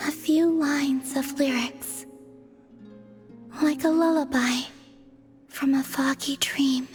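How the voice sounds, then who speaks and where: A woman playing a serene, enigmatic young goddess in a video game, soft and breathy, detached and dreamy. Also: high-pitched, steady tone. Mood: wistful. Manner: slow, detached, cryptic. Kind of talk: video game character acting. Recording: music behind the voice. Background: game music.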